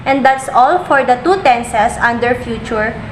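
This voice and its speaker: A woman's voice: fairly high pitch, unhurried, slight background noise. Young woman explaining grammar in an echoey room. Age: young adult. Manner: steady explaining with example sentences. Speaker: student presenter. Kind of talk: reading a grammar lesson. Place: indoors, virtual slide background.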